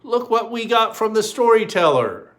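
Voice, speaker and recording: A man's voice: sits high, big pitch swings, normal speed, fairly bright tone, pitched above the performer's own voice. A man voicing eager, pleading small-town children in a storybook. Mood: excited.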